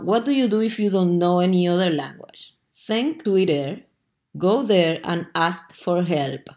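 Low-voiced woman hosting a podcast. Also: a little mellow. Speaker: podcast host. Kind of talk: scripted solo podcast briefing.

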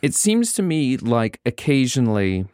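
A man's voice: medium pitch, big pitch swings, normal speed, a little mellow, clear, resonant tone, no echo, clean studio sound. A man hosting a casual interview, asking questions conversationally and ending them with "right?". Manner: conversational, thinks aloud, many "right?" tags. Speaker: interview host.

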